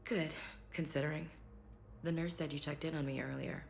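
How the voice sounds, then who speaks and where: A woman's voice: low voice, steady tone, moderate pace, gravelly, soft background music. An actress playing a reserved, principled woman military officer in a video game, earnest and a little hesitant, over faint ambient noise. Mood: guarded, earnest. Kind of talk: video game cinematic dialogue acting.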